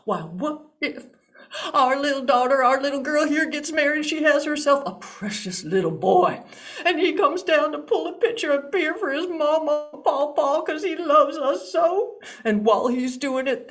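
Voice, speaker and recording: A woman's voice: high-pitched, fairly bright tone, pitched above the performer's own voice. A woman voicing a fretful mother who lurches between scolding and melodramatic lamenting.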